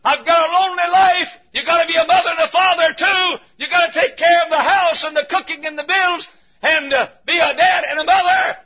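An American man preaching a fervent revival sermon in a raspy, straining voice, impassioned and often shouting in a repetitive cadence. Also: fairly even intonation, fairly quick. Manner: impassioned, shouting, repetitive cadence, dramatic swings from low to loud. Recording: dull, muffled lo-fi sermon recording.

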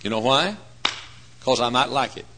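A man in his forties preaching a folksy, humorous sermon, swinging between hushed asides and loud emphatic points. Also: medium pitch, normal speed, background noise. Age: 40s. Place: church.

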